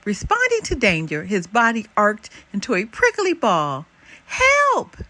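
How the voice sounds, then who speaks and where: An older woman narrates a children's picture book. Her reading is expressive, with a highly animated, sing-song range at a middling pitch for a woman. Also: moderate pace, dry recording.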